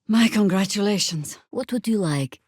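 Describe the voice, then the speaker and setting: An actress playing a world-weary, stern veteran druid woman in a video game, with dry, sardonic, measured delivery. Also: medium pitch, lively intonation, darkish tone, dry recording, natural voice. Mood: wry. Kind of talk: scripted game character dialogue and spell calls.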